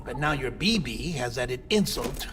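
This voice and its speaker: An actor playing an eccentric middle-aged scientist in a video game, chatty and secretive, with a wry, theatrical manner. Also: male voice, mid-range voice, lively intonation, measured pace, music in the background, natural voice. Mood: disgusted, wry. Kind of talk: video game cinematic acting.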